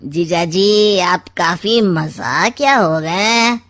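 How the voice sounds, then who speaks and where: A woman voicing a scheming, smug older uncle in a comic cartoon story, with a sardonic, drawn-out delivery. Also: lowish voice, a bit bright. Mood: sarcastic.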